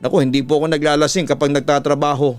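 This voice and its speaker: A Filipino man working as a radio-style narrator, reading a first-person horror story in a measured, deliberate way over music. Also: on the high side, little pitch movement, no room sound. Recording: music playing under the voice. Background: background music.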